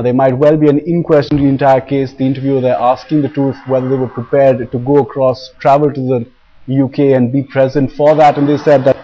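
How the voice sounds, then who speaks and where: Flat-toned man anchoring news. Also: mid-range voice. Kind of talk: live news recap. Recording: dull, band-limited broadcast audio.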